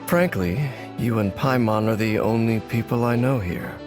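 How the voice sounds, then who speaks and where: A man's voice: lowish voice, natural voice. A man voicing a grave, guarded male wanderer who speaks tersely and dryly, in video game dialogue. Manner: terse, dry, measured. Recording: game audio with soft music behind.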